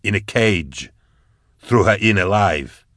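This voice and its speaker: A man voicing a bitter, grieving old agent in an audiobook, speaking in a measured, accusing way. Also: on the low side, expressive, fairly bright tone, no room sound, clean studio sound. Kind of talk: audiobook character acting.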